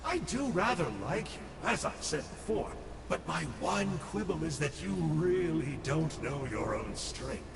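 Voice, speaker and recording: Casting: high, gravelly voice for a glib Abyss schemer, distant hall echo in a noisy game capture. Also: male voice, lively intonation, measured pace, full character voice. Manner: glib, mocking, showy. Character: sly theatrical male Abyss scholar.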